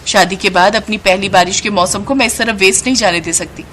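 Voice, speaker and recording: A woman plays a vain young daughter-in-law in a cartoon moral story. She is haughty and spoiled, talking down with mockery, pitched above the narrator's voice, with faint noise behind. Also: brisk, a bit bright, natural voice. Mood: haughty, indignant. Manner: mocking, self-important.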